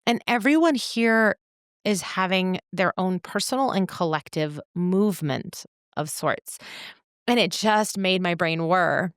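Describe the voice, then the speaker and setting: A woman co-hosting a podcast, telling stories in a casual, reflective way with thoughtful, measured phrasing. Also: on the low side, smooth, dry recording, clean studio sound. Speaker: podcast co-host. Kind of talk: casual reflective storytelling in conversation.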